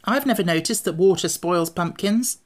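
Woman voicing a sensible, high-pitched young boy. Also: natural voice. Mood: brisk. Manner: brisk, soothing. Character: brisk, sensible, good-natured young boy. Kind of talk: audiobook character voice.

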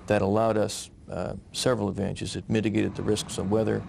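A man's voice: lowish voice, level tone, a little fast, creaky, background noise. A man in an interview explaining overnight bridge work, plain-spoken with some hesitation.

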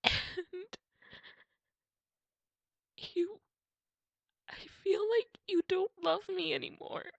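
A woman playing a heartbroken young girlfriend in an ASMR roleplay, crying and pleading in halting phrases, often slipping into a breathy whisper. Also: extremely high pitch, steady tone, no room sound, muffled recording, heavily acted. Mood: hurt, tearful. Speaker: roleplay voice actor. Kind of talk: intimate ASMR roleplay acting.

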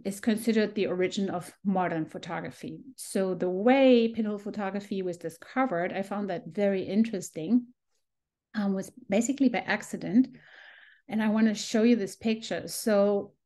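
A woman's voice: mid-range voice, a little mellow. A middle-aged woman from Germany with a German accent, giving a chatty, enthusiastic photography lesson on a video call with frequent ums. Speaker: photography workshop teacher. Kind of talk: live online presentation to a small group. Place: home room on a video call. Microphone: video call computer mic.

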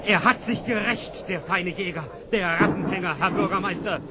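A man's voice: high-pitched, normal speed, lightly acted. An actor playing an angry townsman, declaiming accusations in a fairy-tale radio play. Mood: angry, accusing. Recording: noisy old recording amid crowd.

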